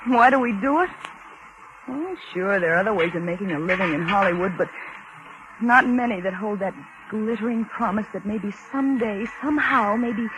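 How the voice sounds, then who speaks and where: An actress playing a weary, anxious young American car hop, confiding and wry, in 1940s radio suspense style. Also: female voice, medium pitch, moderate pace, highs cut off, close to an everyday voice. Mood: confiding, uneasy. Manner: wry, confiding, working-class plain talk. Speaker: narrator and lead character. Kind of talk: 1940s radio suspense acting, first-person narration. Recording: noisy old 1940s radio recording.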